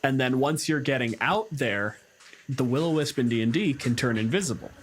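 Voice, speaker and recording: A man's voice: mid-range voice, normal speed, a little hiss or hum. A man hosting a podcast, talking informally and explaining things in a casual panel discussion. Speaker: podcast host.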